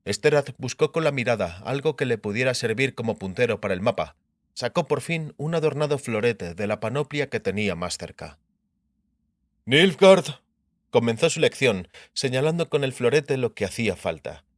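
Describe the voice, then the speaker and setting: A man's voice: medium pitch, expressive, fairly quick, dry recording, studio quality. A man reading fantasy fiction as a scripted audiobook narration.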